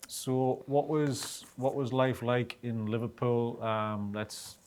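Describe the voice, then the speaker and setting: A man's voice: medium pitch, fairly even intonation, slow, darkish tone. Informal male interviewer. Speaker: interviewer, host. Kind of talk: casual interview chat.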